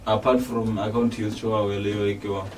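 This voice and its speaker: A man's voice: lowish voice, level tone, normal speed, darkish tone. A man with a South African accent hosting a casual interview in a laid-back, slangy way. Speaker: host. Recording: distant mic with faint noise.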